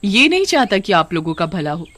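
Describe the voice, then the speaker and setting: A woman's voice: medium pitch, big pitch swings, brisk, fairly bright tone. A woman voicing a persuasive, scheming lady factory owner in a cartoon, coaxing and insistent. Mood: insistent, promising.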